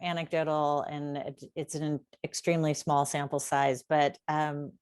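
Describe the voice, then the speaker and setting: A woman hosting an interview, asking questions in a searching, halting way. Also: deep voice, little pitch movement, darkish tone, clear, resonant tone, no echo, studio quality. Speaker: interview host. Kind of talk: casual interview.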